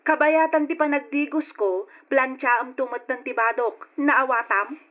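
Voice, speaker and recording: A woman plays a bossy older woman who snaps out orders in a fairly high voice. The radio sound is dull and lo-fi. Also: unhurried, fairly bright tone. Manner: bossy and commanding. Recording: dull lo-fi audio.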